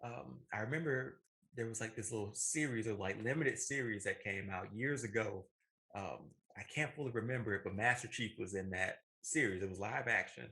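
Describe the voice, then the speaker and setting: A man's voice: on the low side, moderate pace, sharp tone. A man co-hosting a movie talk show, chatting casually. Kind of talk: casual chat.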